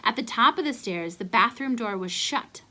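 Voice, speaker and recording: A woman giving an expressive read-aloud narration of a children's storybook. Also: on the high side, normal speed.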